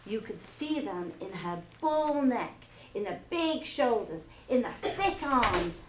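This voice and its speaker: A woman teacher reading a children's novel aloud to her class, with expressive storytelling. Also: mid-range voice, slight background noise. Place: school room. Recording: echoey room with faint ambience.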